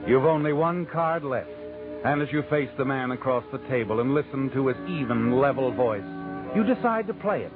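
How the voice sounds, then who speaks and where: A man's voice: mid-range voice, animated, normal speed, close to an everyday voice. A man playing a mysterious, knowing suspense narrator in an old radio drama, speaking in insinuating questions straight to the guilty one. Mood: ominous, taunting. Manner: ominous, insinuating rhetorical questions in second person. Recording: tinny old radio broadcast, music behind.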